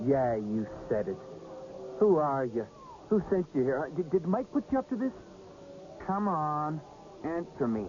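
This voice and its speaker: An actor in a radio drama playing a wary young fortune-teller with a gruff, streetwise, suspicious manner. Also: male voice, on the high side, very animated, a little slow, long pauses, darkish tone. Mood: suspicious, agitated. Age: young adult. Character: wary, brusque young fortune-telling apprentice. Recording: dull, noisy old radio broadcast.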